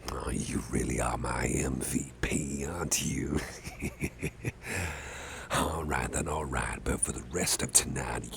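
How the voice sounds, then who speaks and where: A man playing a caring, affable boss in an ASMR roleplay, talking softly in a gentle, hesitant, chatty way with a gravelly voice. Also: full character voice. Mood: reassuring, relaxed. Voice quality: deep, gravelly, breathy at times. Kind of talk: ASMR roleplay, soft close personal talk. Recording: faint background noise.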